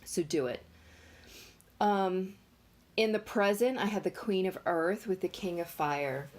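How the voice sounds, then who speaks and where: A woman giving a casual tarot reading, talking in a nasal, congested voice with many pauses and fillers. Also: fairly low pitch, a little mellow. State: congested from allergies. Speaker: tarot reader.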